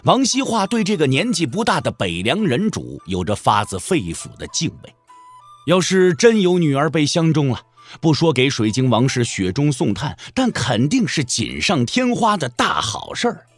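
A man narrating a Chinese martial arts audio drama as an expressive storyteller, wry and colloquial in his delivery. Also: on the high side, normal speed, soft background music.

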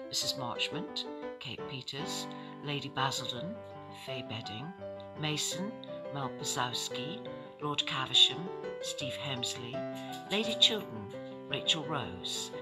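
A woman announcer reading scripted credits for a radio play. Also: very deep voice. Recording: loud music under the voice. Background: loud guitar music.

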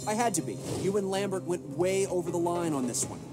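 An actor playing an accusatory American government official, formal and prodding, in a video game. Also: male voice, sits high, lively intonation, brisk. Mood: reproachful. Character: accusatory male government official, smug and pressing. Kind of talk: cinematic video game acting. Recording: music behind the voice.

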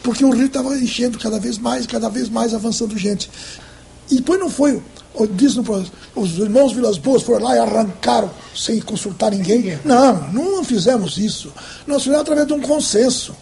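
Gravelly older man recounting events. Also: very high voice, brisk, dark tone, slight background noise. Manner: recounting with restarts and hesitations. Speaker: interviewee. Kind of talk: documentary interview. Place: home office with bookshelves.

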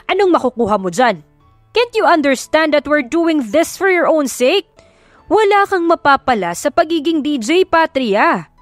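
A woman's voice: sits high, big pitch swings, normal speed, dry recording, pitched above the performer's own voice. Woman acting a stern, scolding Filipino father. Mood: exasperated. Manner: scolding, firm. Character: stern, controlling middle-aged wealthy Filipino father. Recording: music behind the voice.

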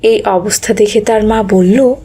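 Husky woman narrating a children's story. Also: medium pitch, fairly even intonation, a little slow, dark tone.

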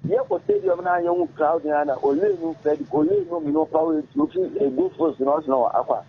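A middle-aged man with a Ghanaian accent, an interview guest speaking in a halting, repetitive way and mixing languages mid-sentence, in a radio studio. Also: a little high, wildly swooping pitch, creaky, a little hiss or hum. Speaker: interview guest, former footballer. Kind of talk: casual interview talk. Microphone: studio microphone on arm. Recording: muffled phone-like audio.

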